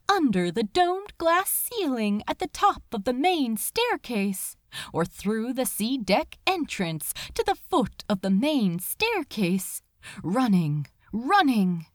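A woman's voice: on the high side, no echo, clean studio sound. A woman reading a story aloud as a dramatic, emotive narrator with a sense of urgency. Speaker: fan fiction reader.

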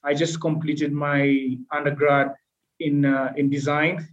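Guy in his 20s, reflective and earnest, kinda high flat voice, echoey muffled recording. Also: measured pace. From Kenya. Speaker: podcast guest. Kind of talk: personal storytelling in an interview.

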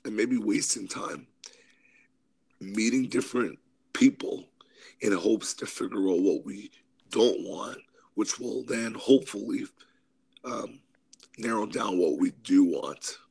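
A man talking on a casual podcast, hoarse from a cold. His voice sits low and comes out husky and breathy, slipping into whispery asides as he works through his points. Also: takes it slowly, pauses often, a little mellow. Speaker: podcast co-host.

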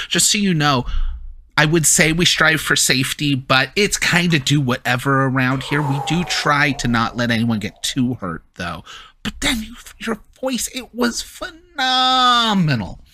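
A beaming male carnival ringmaster with a lifted, swooping voice that rides high and bright. He stretches his words out grandly, savoring every line like a born showman, as a game master puts him on. The sound is close and dry. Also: no room sound, heavily acted. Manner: grand, drawn-out showman delivery. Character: showy grinning male carnival owner, theatrical host.

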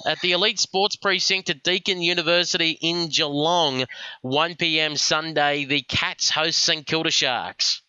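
A brisk Australian man presents a radio sports program, his voice bright and forceful and very crisp. The studio sound is dry and a little dull. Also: a little high, steady tone, no room sound. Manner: brisk and announcer-like. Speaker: radio show host.

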